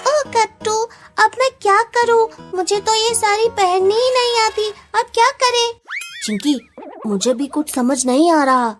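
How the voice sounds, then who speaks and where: A woman's voice: very high-pitched, animated, measured pace, faint music behind. A woman voicing an earnest, anxious young schoolchild in a children's cartoon. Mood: flustered.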